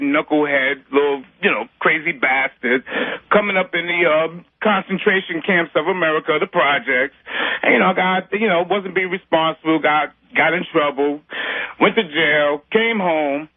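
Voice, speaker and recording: High-pitched, emphatic New man. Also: normal speed, no room sound, narrow, tinny audio. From New York area, US. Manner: emphatic, streetwise slang, repeats phrases for emphasis. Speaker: radio show caller. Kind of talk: animated call-in testimonial. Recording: dry, band-limited call on radio.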